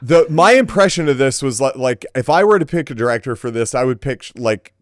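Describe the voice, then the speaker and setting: A man with a mid-pitched voice, recorded dry and close with no room sound. He talks as a podcast host in a casual, thoughtful way, often qualifying his opinions as he works through an argument. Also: animated, a little fast, dry recording.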